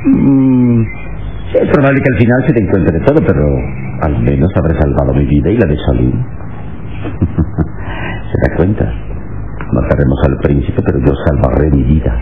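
A deep, suave adventure hero. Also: male voice, sing-song, heavily acted. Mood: cool, resolute. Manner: suave, measured, theatrical, with thoughtful murmurs. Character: Kalimán, suave, unflappable adult male adventure hero. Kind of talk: Spanish-language radio serial acting. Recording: tinny, noisy old radio serial recording.

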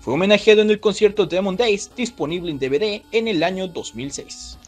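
A man hosting and narrating a scripted music trivia video in an expressive way. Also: high voice, a little fast. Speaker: video host.

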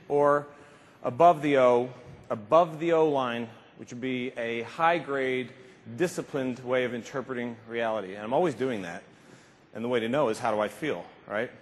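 A man in his fifties giving an emphatic, informal motivational keynote speech, repeating phrases for effect. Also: mid-range voice. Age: 50s. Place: large conference stage indoors. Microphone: lapel mic. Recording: reverberant large hall, slightly muffled speech.

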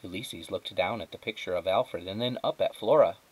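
A man's voice: low voice, brisk, darkish tone, slightly rough, poor audio. A man reading a children's storybook aloud as a teacher, lightly acting out the dialogue.

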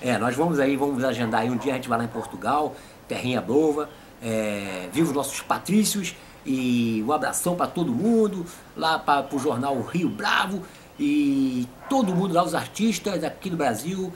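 A man's voice: mid-range voice, lively intonation, moderate pace, slight background noise. A man in his fifties with a Brazilian accent, hosting a casual comic interview. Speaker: host and puppeteer.

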